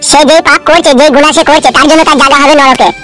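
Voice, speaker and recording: A man's voice: extremely high pitch, steady tone, racing, pushed and bright, soft background music. A man voicing a timid, naive, protesting married simpleton who frightens easily, in a comedy cartoon. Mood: resigned. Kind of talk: amateur cartoon comedy dubbing.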